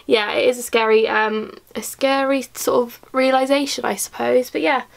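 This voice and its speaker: A woman's voice: on the high side, fairly even intonation, normal speed, gravelly. Chatty young woman vlogging makeup. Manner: chatty, rambling, frequent ums and likes. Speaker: beauty vlogger. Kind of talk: casual chat while doing makeup. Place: small room indoors.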